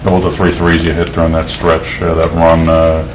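A man's voice: extremely low pitch, monotone, fairly quick, distant mic. A man, a reporter asking rambling questions at a press conference. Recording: echoey room with background noise.

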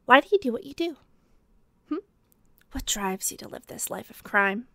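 A woman playing a teasing, obsessed female detective in a whispery, deliberate ASMR roleplay. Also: a little high, lively intonation, bright, forceful tone, no room sound. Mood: sly, probing. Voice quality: breathy, whispery. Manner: slow, deliberate, teasing, pausing. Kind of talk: ASMR roleplay, scripted intimate monologue.

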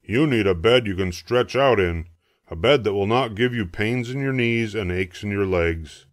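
A man's voice: lowish voice, normal speed, dry recording, clean studio sound, close to an everyday voice. Man putting on a big hearty papa bear. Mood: matter-of-fact. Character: big, hearty, confident father bear, storybook.

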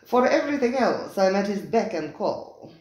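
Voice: medium pitch, sing-song, normal speed, a little mellow, muffled recording, voice pushed up, natural voice. A reader voicing Spiro, a vehement, theatrical male restaurant owner who talks in a grandly emphatic way and tags his questions with "eh?". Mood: grand, insistent. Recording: roomy, echoey recording.

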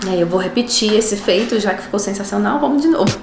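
A young Brazilian woman chatting casually to her camera in a home kitchen, bubbly and quick to laugh. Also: mid-range voice, vocal fry, over loud music. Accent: Brazilian Portuguese. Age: young adult. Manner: bubbly, animated, laughs easily. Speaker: vlogger. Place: home kitchen and dining room, indoors. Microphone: handheld camera at arm's length. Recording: echoey room.

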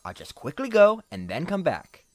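An actor playing a young, dutiful, easily flustered male unicorn squire, hesitant and expressive, in an animated series. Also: medium pitch, moderate pace. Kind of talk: animated fan series voice acting. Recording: music behind the voice.

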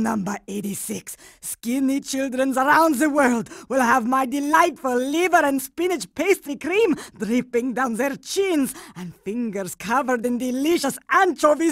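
A creaky old granny villain, sickly sweet n menacing, talks real slow, cartoon game. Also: female voice, high voice, sing-song, no room sound, clean studio sound, exaggerated voice. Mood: gloating. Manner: sickly-sweet, gloating, drawn-out. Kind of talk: cartoon video game voice acting.

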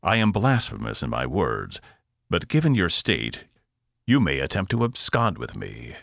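A man voicing a solemn, formal male alien who speaks slowly and with measured care. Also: sits low, huge pitch swings, vocal fry, a bit bright, dry recording, studio quality, full character voice.

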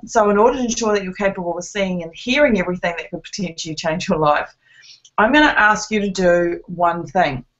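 A woman presents on a webinar, her voice a little croaky from a cold. She talks earnestly and persuasively, dotting her pitch with ums and uhs. The call audio is muffled and lo-fi. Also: fairly bright tone. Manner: earnest, persuasive, many fillers. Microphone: conference call line.

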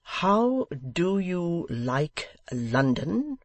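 A reader voicing a pompous, condescending English gentleman host who talks slowly, loudly and overenunciates as if to a deaf child. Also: high voice, sing-song, no echo, voice pushed up, heavily acted.

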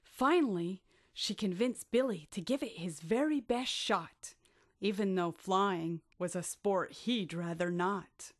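Woman storyteller, slow pace, dry close sound, expressive, rhyming children's tale. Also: mid-range voice, no echo. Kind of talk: children's bedtime story narration in rhyme.

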